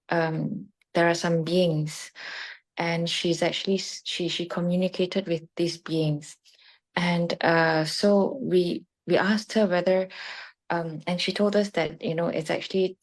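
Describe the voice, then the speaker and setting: A woman in her thirties from Malaysia, asking hesitantly and politely for advice over a video call, with many pauses and restarts. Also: lowish voice, darkish tone.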